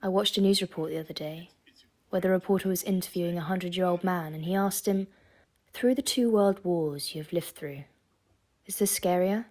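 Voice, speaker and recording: A slightly low young female voice an actress's delivers reflective, scripted diary narration. She is thoughtful and wistful, as if weighing her place in history. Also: a little fast, a little mellow, lightly acted.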